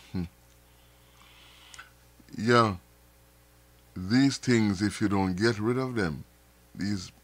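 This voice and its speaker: A man hosting a live stream, talking casually to viewers with frequent repeats and restarts, sounding a little nasal from dust in his throat. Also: lowish voice. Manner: rambling, repeats words and restarts often.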